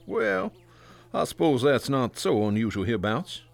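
Courteous inspector with lively intonation. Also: male voice, medium pitch, normal speed, creaky. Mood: patiently probing. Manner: polite, casually probing. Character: courteous, patiently probing New Orleans police inspector. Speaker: actor playing a police inspector. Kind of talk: radio drama acting.

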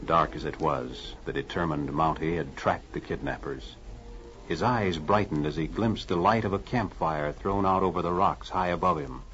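A man's voice: sits low, normal speed, a bit bright. A man narrating as a radio announcer in a formal, theatrical 1940s drama style. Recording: muffled old radio broadcast. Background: music.

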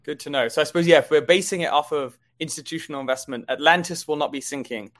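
A man's voice: a little high, fast talker, a bit bright. A man co-hosting a stock market show, giving conversational commentary on companies and markets.

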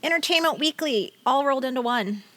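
A woman's voice: sits high, lively intonation, a little fast, fairly bright tone, no echo. An American woman in her fifties chatting casually as a podcast co-host. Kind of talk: casual chat.

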